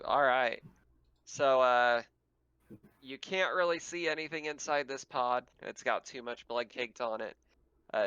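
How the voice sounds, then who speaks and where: A man's voice: mid-range voice, vocal fry, pushed and bright, smooth, no room sound, dull audio. A man narrating a tabletop game casually as game master, given to easy laughter.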